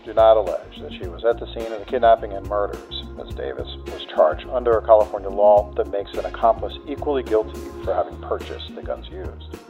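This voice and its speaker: Actor as a fast, low-voiced 1970s newsman. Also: mellow, muffled recording, soft background music, natural voice. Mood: brisk, factual. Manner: clipped, factual. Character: brisk male 1970s news reporter reading a bulletin. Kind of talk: 1970s news reporting in audio drama.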